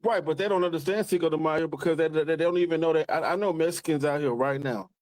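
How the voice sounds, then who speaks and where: A man's voice: a little high, fast, a little mellow. A man in a casual panel debate, pushing back argumentatively and repeating himself as he makes his case. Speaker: podcast panelist.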